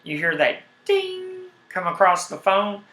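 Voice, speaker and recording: A man with a heavy Southern accent rambles through his live stream, fired up and repeating himself. His voice sits a little high and comes across bright and forceful. The sound carries the echo of a bare room. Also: unhurried. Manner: rambling, animated, repeats words when worked up. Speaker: YouTube streamer. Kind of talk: casual live-stream chat.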